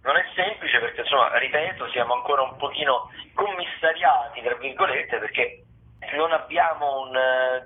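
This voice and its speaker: A man giving a casual radio rundown as a theatre critic, wordy and halting with repetitions. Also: mid-range voice. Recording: tinny phone line.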